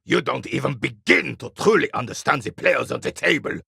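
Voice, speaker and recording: Gravelly, bitter old royalist veteran. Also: male voice, mid-range voice, lively intonation, a little fast, bright, forceful tone, no room sound, clean studio sound, full character voice. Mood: bitter regret. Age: 80s. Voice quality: gravelly, rasping, weathered. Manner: curmudgeonly, haughty, formal military speech. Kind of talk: video game character dialogue.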